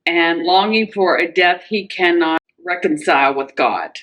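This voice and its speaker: A mature woman with a fairly low voice shares reading recommendations as a co-host. Her delivery is casual and conversational, like chatting with colleagues. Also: measured pace, a bit bright. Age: middle-aged or older. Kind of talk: casual book recommendation chat.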